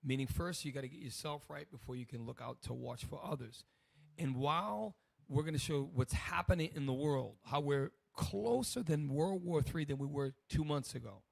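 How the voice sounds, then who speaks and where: Hoarse man with a gravelly voice. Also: fairly high pitch, lively intonation, moderate pace, no echo. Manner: loose and rambling, many restarts. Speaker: host. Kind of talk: casual preaching commentary.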